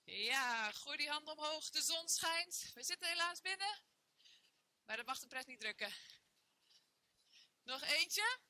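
A woman's voice: sits high, lively intonation, measured pace, long pauses, sharp tone, no room sound. A young woman leading a workout class, counting reps and cheering on her students while she exercises along in a bare indoor room. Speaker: fitness trainer. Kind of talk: guided workout instruction.

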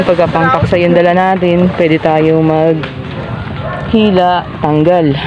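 A man's voice: high voice, dull audio. A man casually narrating his fishing vlog outdoors, explaining his catch and nets in an easygoing way. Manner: easygoing, explains while working. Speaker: fisherman vlogger. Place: outdoors by boats and in a village. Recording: noisy outdoor phone-camera recording.

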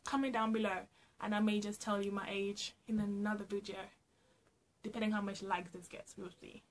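A woman's voice: mid-range voice, flat delivery, brisk, slight background noise. A young woman from Trinidad and Tobago with a Trinidadian accent, chatting playfully and laughing often as she answers get-to-know-me questions for her vlog in a small room at home. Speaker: vlogger.

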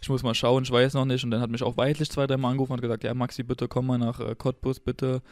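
Man, from Berlin, informal podcast guest, flat intonation, dry close sound. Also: mid-range voice, fast, no room sound. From Berlin, Germany. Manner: informal, colloquial German. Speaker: podcast guest, former footballer. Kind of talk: casual interview chat.

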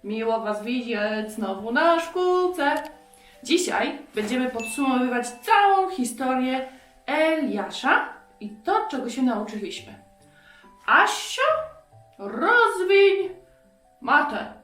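Children's lesson teacher, female, expressive question-and-answer delivery, slowish pace, roomy echo. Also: high voice, faint music behind. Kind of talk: interactive lesson for young children.

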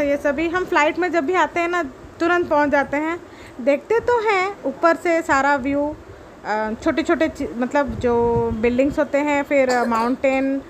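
High-voiced chatty woman vlogger. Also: slight background noise, low-quality recording. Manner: chatty, excited, addresses viewers directly. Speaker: travel vlogger. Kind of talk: casual vlog voice-over.

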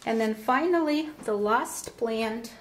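A woman giving casual unboxing commentary as a vlogger, speaking haltingly in short phrases with pauses, recorded indoors at a table. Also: on the high side. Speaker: vlogger presenting her plant unboxing. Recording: background noise with paper crinkling and clicks. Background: crumpling paper packaging.

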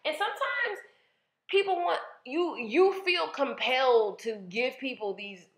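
A woman's voice: high voice, animated, measured pace. Thirties woman hosting a solo podcast; candid and chatty, recorded in a roomy space. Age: 30s. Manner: chatty, candid, uses filler "like" and "um". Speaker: podcast host. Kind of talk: casual solo podcast monologue. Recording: roomy, dull lo-fi sound.

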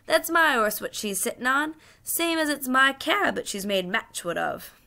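A woman reading a children's storybook, voicing a rough, plain-spoken but kindly male cabby with a Cockney accent. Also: high voice, very animated, moderate pace, sharp tone, pitched above the performer's own voice, exaggerated voice. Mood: urgent.

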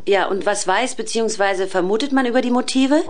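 An actress in a German radio play playing a composed television news anchor, measured and formal. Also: female voice, fairly high pitch, close to an everyday voice. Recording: faint background noise.

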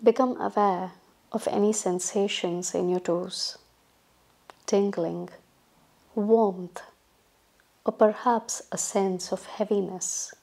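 Female yoga guide, very unhurried and soft-toned, soothing meditation delivery with long pauses. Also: medium pitch, steady tone, gravelly, husky. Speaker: yoga instructor.

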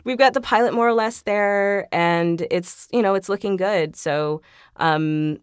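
A woman's voice: medium pitch, measured pace, clear, resonant tone, no room sound, studio quality. A woman voice actor guesting on a podcast, bubbly and laughing, with frequent ums. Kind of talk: casual interview.

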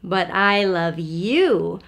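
A woman voicing a big grown male hare from a storybook, gentle and patient. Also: on the low side, expressive, extremely slow, creaky, voice pushed down, heavily acted. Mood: gentle, loving.

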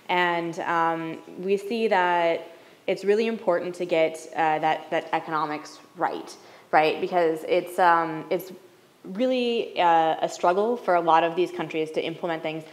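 Low-pitched woman on a panel. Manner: measured, hedging with "right?". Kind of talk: panel discussion answer. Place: large wood-paneled lecture hall.

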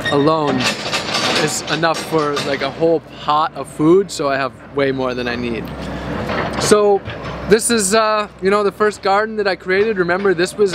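A man in his thirties giving an enthusiastic, casual walk-through of his garden in a vlog, talking as he moves and eats. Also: fairly high pitch. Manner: enthusiastic, gestures while talking and eating. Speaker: vlogger showing his garden. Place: outdoor urban garden, then indoors by a bookshelf. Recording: outdoor traffic noise in background.

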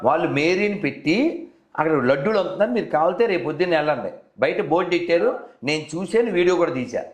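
A man's voice: on the high side, expressive, fast talker. A man debating conversationally on a call, persistently probing with leading questions as the host. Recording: roomy, echoey phone call recording.

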